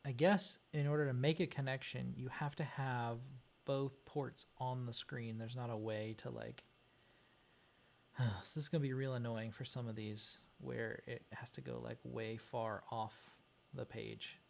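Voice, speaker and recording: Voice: mid-range voice, fairly even intonation, unhurried, creaky, slight background noise. A livestream host chatting casually and muttering hesitantly while thinking aloud through a task. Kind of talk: casual chat while working on a wiring diagram.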